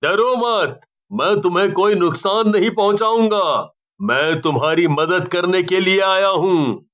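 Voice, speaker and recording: Ghost soldier, horror story, played by a man: raised pitch, drawn-out commanding lines, dull recording. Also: fairly bright tone. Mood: reassuring. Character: ghost soldier, menacing to bandits, protective of innocents.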